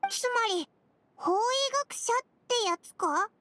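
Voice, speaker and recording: A tiny, very high-pitched fairy companion for an anime game. The voice is bright and lively, swinging around as it talks in a blunt, curious, childlike way. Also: female voice, slow pace, no echo, heavily acted. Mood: impressed, curious. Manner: blunt, boyish casual speech. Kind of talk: Japanese anime game dubbing.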